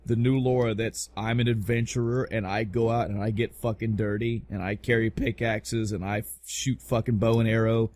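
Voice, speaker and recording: A man's voice: fairly low pitch, little pitch movement, normal speed, hardly any pauses, a little mellow, no echo. A man hosting a casual podcast about pop culture, talking in an emphatic, rambling way with plenty of swearing. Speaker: podcast host. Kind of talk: casual podcast chat about video game movies.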